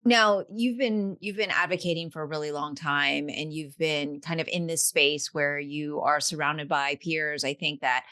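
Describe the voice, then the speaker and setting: Low, bright-toned woman host, dry sound. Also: steady tone, normal speed, hardly any pauses, clear, resonant tone, no echo. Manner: warm, encouraging, hedging fillers. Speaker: interviewer, host. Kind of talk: interview questions.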